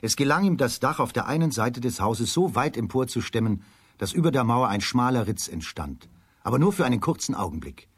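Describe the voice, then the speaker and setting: A husky-voiced man narrating a scripted children's story as a radio play narrator. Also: medium pitch, big pitch swings, fairly quick, dry recording, studio quality.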